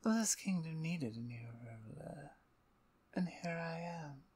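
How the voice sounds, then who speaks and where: A woman playing a menacing, playful vampire usurper in an ASMR roleplay, domineering and seductive, with breathy speech that often drops toward a whisper. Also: low-pitched, lively intonation, darkish tone, exaggerated voice. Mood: triumphant, menacing. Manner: slow, deliberate, domineering, teasing.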